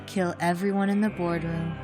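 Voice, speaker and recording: A coolly playful villainess played by a woman muses with menace in an audio drama. Her voice sits fairly low over a clear bed of music. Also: level tone, moderate pace, close to an everyday voice. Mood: playfully menacing. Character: menacing murderous villainess, former cultist, musing playfully on killing.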